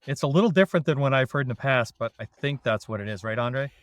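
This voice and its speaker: Relaxed Canadian man, dry close sound. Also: medium pitch, fast talker, dry recording. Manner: self-deprecating, jokey, relaxed. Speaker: dairy consultant. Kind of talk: casual podcast chat.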